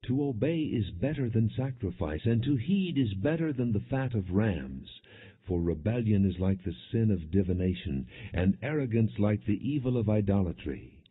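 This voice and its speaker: Stern old male prophet for scripture audiobook, read by a male narrator: deep, husky, declamatory, phone-like sound. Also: expressive, moderate pace. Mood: stern rebuke. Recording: muffled, phone-like narrow-band audio.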